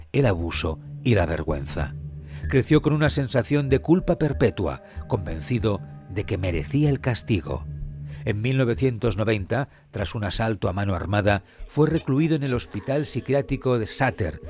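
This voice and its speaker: A man. His scripted narration is solemn, measured and suspenseful, with light music beneath it. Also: lowish voice, a little mellow. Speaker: true crime podcast host and narrator.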